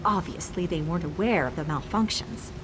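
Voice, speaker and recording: A woman voicing a flustered but self-assured repair technician in a video game, brisk, defensive and insistent. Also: fairly low pitch, noisy background, lightly acted. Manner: defensive, brisk, covering doubt with confidence. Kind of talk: video game character dialogue.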